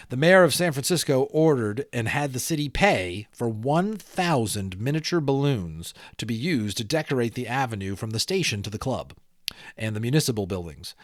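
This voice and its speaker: A man's voice: mid-range voice, dry recording. Man reading a children's story, dry sound. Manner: animated storyteller, explains asides to young listeners. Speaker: audiobook reader. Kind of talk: expressive children's book read-aloud with casual asides.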